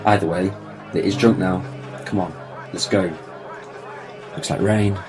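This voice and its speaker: A man acting in an audio drama as a grave, weary time traveller, speaking in a solemn, measured way. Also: deep voice, steady tone, music in the background, crowd noise. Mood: pensive.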